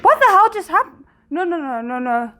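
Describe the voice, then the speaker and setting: Expressive woman reacting to a TV episode. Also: extremely high pitch, moderate pace. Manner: emotionally reactive, then thoughtful and analytical. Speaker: reaction video host. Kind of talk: casual reaction commentary.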